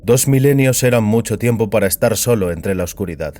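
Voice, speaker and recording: A man's voice: on the low side, animated, brisk, darkish tone. A man giving a scripted narration of a science fiction audiobook. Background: music.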